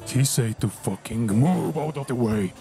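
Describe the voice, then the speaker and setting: A man playing a massive, gruff male dog fighter who speaks in a halting, apologetic way. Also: medium pitch, lively intonation, dark tone, soft background music. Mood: awkward.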